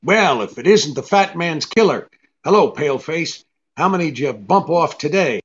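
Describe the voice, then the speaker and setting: Man playing a wisecracking private eye, animated delivery. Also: a little high, normal speed, muffled recording, natural voice. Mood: sardonic. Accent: American. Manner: sardonic, clipped, tough. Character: cynical, wisecracking hard-boiled male private detective. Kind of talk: 1940s radio drama acting.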